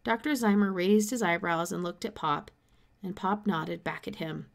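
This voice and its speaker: A middle-aged woman reads a children's story aloud. Her voice sits at an ordinary female pitch, and she narrates expressively in the manner of a teacher. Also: normal speed, vocal fry.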